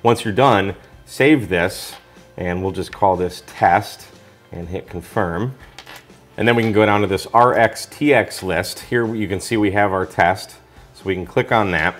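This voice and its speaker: A man's voice: deep voice, a little slow, faint music behind. A man talking informally through a product review, explaining things step by step in a casual way.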